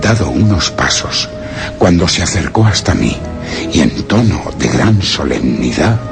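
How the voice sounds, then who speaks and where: Male narrator, extremely low voice, grave measured storytelling for horror radio theatre, loud music bed. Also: big pitch swings. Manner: solemn, measured, literary delivery. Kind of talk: dramatic scripted first-person suspense narration.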